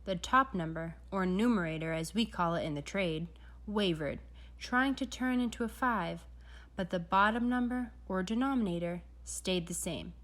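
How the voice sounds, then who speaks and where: A woman's voice: mid-range voice, normal speed, fairly bright tone. A woman narrating a children's story aloud, with dramatic storytelling emphasis. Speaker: storybook reader.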